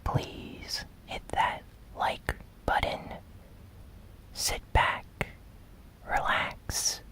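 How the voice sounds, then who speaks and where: Slow breathy whispering ASMR host. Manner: slow, soft, deliberate delivery with pauses. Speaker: host of a gaming news show. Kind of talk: whispered ASMR news recap.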